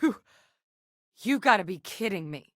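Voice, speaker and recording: An actress playing a seasoned, determined woman scientist and soldier in a video game, speaking in an earnest, measured, matter-of-fact way. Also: medium pitch, animated, no echo, studio quality. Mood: quietly stunned. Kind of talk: video game character dialogue.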